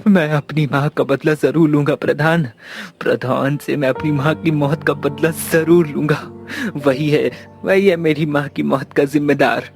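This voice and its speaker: Cartoon casting: earnest, aggrieved young village man played by a male actor, fairly high voice, emphatic, music underneath. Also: steady tone, unhurried, gravelly, few pauses. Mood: vengeful, indignant. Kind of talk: scripted cartoon dialogue. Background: background music.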